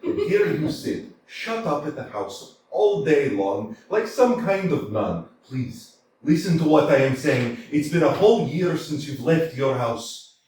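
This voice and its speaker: A man's voice: fairly high pitch, sing-song, a little slow, darkish tone, far from the mic, a little hiss or hum. Man reading a blunt, folksy old servant. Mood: coaxing. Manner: chatty, teasing, down-to-earth. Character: blunt older male servant, coaxing and folksy. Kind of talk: staged reading of a stage play. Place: small community theater stage. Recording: echoey stage picked up from afar.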